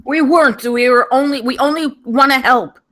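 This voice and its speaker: A woman's voice: high-pitched, moderate pace, fairly bright tone. A woman playing a frightened, urgent survivor in a table read of a thriller screenplay. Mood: pleading.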